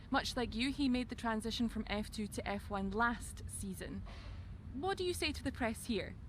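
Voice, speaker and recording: A woman acting a polite but probing press reporter in a racing game. Her voice is mid-pitched, with faint noise behind. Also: lightly acted, rough recording. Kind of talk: press interview question.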